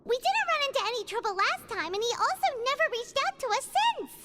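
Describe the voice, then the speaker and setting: Game fairy companion: childlike, curious, chatty, sky-high squeak with animated swoops. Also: female voice, fast talker, very noisy. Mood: alarmed. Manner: bubbly, expressive, refers to herself in third person. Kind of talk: video game voice acting. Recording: music behind the voice.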